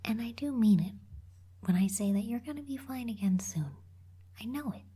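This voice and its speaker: A woman voicing a teasing but caring young cactus girl in an ASMR roleplay, soft and slightly husky, blunt yet encouraging. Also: on the high side, lively intonation, moderate pace.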